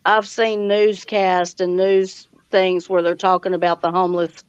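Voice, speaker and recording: A woman's voice: on the low side, little pitch movement, unhurried, smooth, dry recording. A woman hosting a casual live chat, speaking in a folksy way and greeting guests and viewers with y'all. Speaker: live chat stream host. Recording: dull lo-fi audio.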